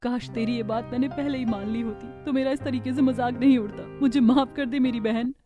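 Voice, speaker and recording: Casting: female actor as young cartoon bride, dramatic, higher pitch, family melodrama. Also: fairly even intonation, a little fast, muffled recording, over music, lightly acted. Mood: apologetic.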